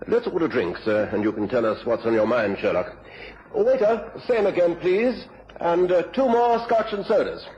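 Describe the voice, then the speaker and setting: Jovial British club gentleman. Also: fairly high pitch, very animated, moderate pace, slight background noise. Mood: jovial, welcoming. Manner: jovial, clubbable. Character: genial, hospitable upper-class gentleman, the detective's brother. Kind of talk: radio drama acting. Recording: dull radio recording, club chatter behind.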